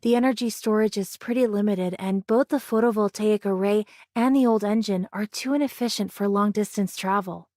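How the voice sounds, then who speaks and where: Actress as inventive young anime engineer. Confident, medium pitch, close studio sound. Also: female voice, moderate pace, few pauses, a little mellow, dry recording, close to an everyday voice. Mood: confident, inspired. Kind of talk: English anime game voice acting.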